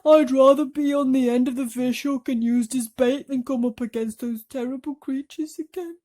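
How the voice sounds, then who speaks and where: A woman voicing a miserable, panicky blind male earthworm in a storybook, wailing loudly and melodramatically. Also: sits high, steady tone, pitched above the performer's own voice, lightly acted. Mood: panicked despair. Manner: drawn-out, melodramatic shrieking.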